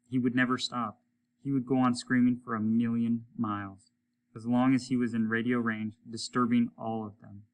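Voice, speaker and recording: A man's voice: mid-range voice, fairly bright tone, low-quality recording. A man reading a story aloud as a teacher would, in dramatic storytelling narration.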